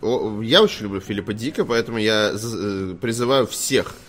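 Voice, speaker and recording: A man's voice: lowish voice, expressive, normal speed. Opinionated man chatting on a live stream. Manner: opinionated, slangy, rambling. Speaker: host of a games news stream. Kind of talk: casual live stream chat.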